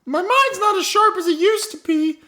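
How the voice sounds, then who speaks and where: A man's voice: extremely high pitch, animated, normal speed, pushed and bright, heavily acted. A man voicing a forgetful elderly grandmother in an improvised comic radio mystery, rambling, defensive and melodramatic. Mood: rambling, flustered. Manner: rambling, self-justifying, melodramatic.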